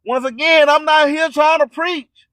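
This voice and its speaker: A man's voice: very high-pitched, fairly even intonation, unhurried, bright, forceful tone, no room sound. An American man hosting a radio show, preaching spiritual motivation in a declamatory, repetitive, rhyming delivery. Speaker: radio host.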